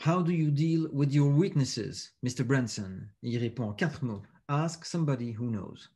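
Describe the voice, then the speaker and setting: A man, a guest on a podcast, telling stories in an animated way and repeating words as he thinks. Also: medium pitch, moderate pace. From Belgium. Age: 40s. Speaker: guest, author and speaker. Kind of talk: casual podcast interview.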